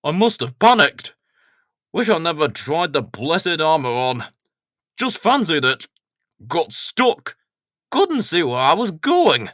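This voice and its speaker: A woman's voice: deep voice, sing-song, slow pace, a bit bright, dry recording, clean studio sound, full character voice. A woman voicing a sheepish, hesitant village man in a children's storybook. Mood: sheepish, apologetic.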